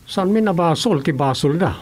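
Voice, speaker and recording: A man playing an excitable young country fisherman in a radio comedy-drama, with an Ilocano accent. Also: on the high side, moderate pace, husky. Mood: earnest.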